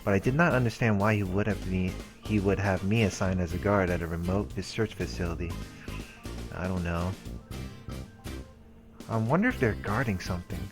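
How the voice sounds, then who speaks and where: Low-voiced man reading game text. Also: normal speed, long pauses. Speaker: let's play host reading the game's text. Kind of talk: reading story text aloud with casual asides. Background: game music.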